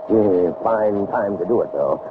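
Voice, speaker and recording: An actor playing a proud, obsessive older scientist in a 1960s radio drama, grandiose and self-satisfied in manner. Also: male voice, fairly low pitch, measured pace, narrow, tinny audio, lightly acted. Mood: brisk, musing. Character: proud, obsessive older male scientist devoted to his machine. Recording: noisy, band-limited vintage radio recording.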